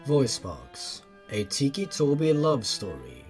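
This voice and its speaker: A man's voice: medium pitch, huge pitch swings, slow, darkish tone, faint music behind. A young man reading a fan-fiction story aloud, breaking off for sarcastic, profane commentary. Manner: sarcastic, swears freely, breaks off to mock the text.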